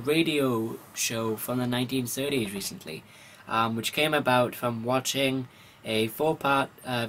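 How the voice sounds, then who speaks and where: Halting man over background noise. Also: medium pitch, a bit bright. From Wales. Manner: halting, hesitant delivery with frequent pauses and ums. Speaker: comics vlogger. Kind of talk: informal comics news vlog.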